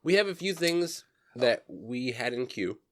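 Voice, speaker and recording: Deadpan man cracking crude jokes. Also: medium pitch, a little slow, no echo. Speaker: podcast co-host. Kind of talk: casual podcast chat.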